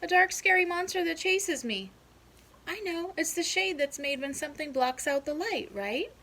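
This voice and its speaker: Woman voicing an excitable high-pitched young groundhog pupil. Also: normal speed, heavily acted. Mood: eager. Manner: excitable, bright-eyed, childlike.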